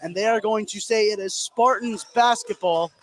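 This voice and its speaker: A man's voice: high voice, normal speed. A man doing live play-by-play basketball commentary on the radio, narrating in short clipped phrases. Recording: noisy gym ambience behind. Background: ambient noise of a basketball game.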